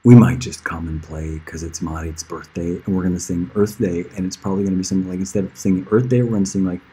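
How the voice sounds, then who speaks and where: A man's voice: low voice, fairly even intonation, fast, mellow, slightly rough, low-quality recording. A middle-aged man chatting casually on a livestream in a rambling, informal way that drifts from one aside to the next. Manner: rambling, informal, easily sidetracked, chuckling now and then. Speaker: musician livestreaming to friends and fans.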